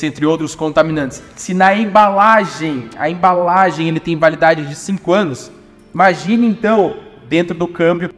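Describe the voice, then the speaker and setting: A young man presenting car maintenance tips in a brisk, insistent explanatory way, often repeating key points, recorded on a phone camera in a workshop. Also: fairly high pitch, in a large hall. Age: young adult. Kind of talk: explanatory how-to talk. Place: indoor auto workshop.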